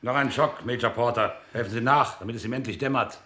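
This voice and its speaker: An actor playing a sly, bullying military interrogator in a radio drama, mock-friendly and then sharply commanding. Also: male voice, medium pitch, steady tone, quick pace, pushed and bright, close to an everyday voice. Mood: menacing, insistent.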